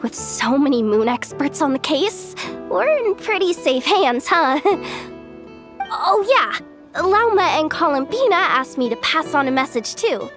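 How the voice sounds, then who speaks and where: A woman's voice: high-pitched, very animated, a little slow, a bit bright, full character voice. A giggly bubbly young courier girl. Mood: cheerful. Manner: giggly, upbeat, laughs often. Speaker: messenger character. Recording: game audio with music behind.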